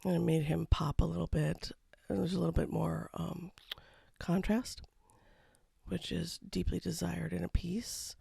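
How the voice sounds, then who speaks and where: An artist talking casually over a painting time-lapse, with a froggy voice from a cold and a low-key, rambling manner. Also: flat delivery, measured pace, vocal fry, warm tone, husky, dry recording, studio quality. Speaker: watercolor artist. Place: home studio.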